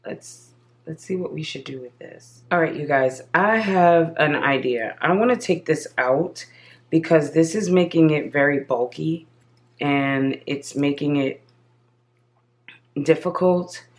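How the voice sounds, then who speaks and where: A woman with a slightly hoarse voice walks viewers through a craft project step by step. She speaks at a slow, unhurried pace in a chatty, casual tone. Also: low voice. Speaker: craft channel host. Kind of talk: casual crafting tutorial voice-over.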